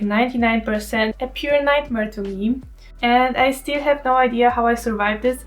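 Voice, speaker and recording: A woman in her twenties from Poland with a Polish accent, narrating her story as an artist in a candid, self-deprecating way. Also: medium pitch. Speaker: artist vlogger. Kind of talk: scripted voice-over narration.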